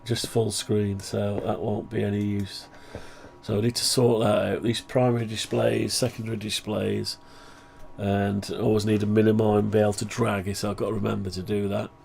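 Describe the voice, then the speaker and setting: A man talking through a project update on his own software, rambling and thinking aloud, voice groggy from the flu. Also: lowish voice, level tone, moderate pace, a little hiss or hum. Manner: rambling, thinking aloud, frequent throat clearing. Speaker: hobbyist maker showing his own software. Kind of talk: casual screen-share project update.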